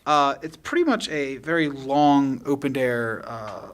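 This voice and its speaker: A man improvising narration as game master of a tabletop game, describing things in an informal, halting way. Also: medium pitch.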